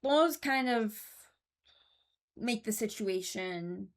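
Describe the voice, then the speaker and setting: A woman's voice: fairly high pitch, animated, very slow. A woman giving casual, rambling commentary as a host, thinking aloud as she goes. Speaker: host ranking game voice lines.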